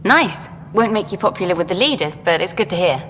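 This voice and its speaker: Eager, low-voiced documentary journalist. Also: female voice, animated, brisk, a bit bright. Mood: probing, pleased. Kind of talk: video game dialogue acting. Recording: long hall reverb, faint noise.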